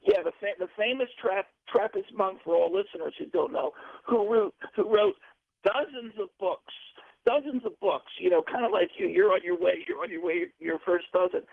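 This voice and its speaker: High, gravelly male caller on phone. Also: moderate pace, dry recording. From Pennsylvania. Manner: effusive, rambling, stumbles over words. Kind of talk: call-in radio question. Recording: dry tinny phone line.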